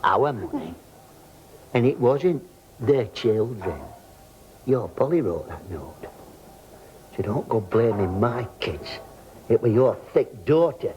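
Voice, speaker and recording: An actor playing a blunt, stubborn working-class father with a Yorkshire accent, gruff and blustering. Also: mid-range voice, expressive, takes it slowly, long pauses, dull audio, slight background noise. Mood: indignant. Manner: gruff, blustering, dialect speech. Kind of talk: scripted TV comedy acting.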